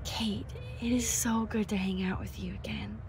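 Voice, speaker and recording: A woman acting a caring, nerdy young student photographer in a video game, hushed and supportive, quietly determined. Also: mid-range voice, steady tone, moderate pace, a little hiss or hum, close to an everyday voice. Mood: supportive, thoughtful. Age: young adult.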